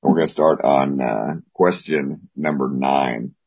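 A hoarse man losing his voice, teaching a Bible class in a rambling way with many pauses. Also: rumbling low. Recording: dull lo-fi audio.

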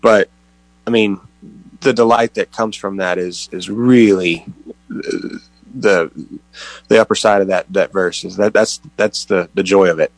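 A man in a group Bible discussion, speaking in a halting, reflective way and repeating words. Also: deep voice, steady tone, no echo, poor audio. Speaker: Bible study participant.

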